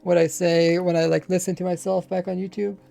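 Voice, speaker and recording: A man's voice: high voice, little pitch movement, brisk, vocal fry, dark tone. A man streaming a Minecraft session, chatting casually and rambling as he plays, with slightly mumbled, lazy pronunciation and a faint accent. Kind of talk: casual livestream chat while playing.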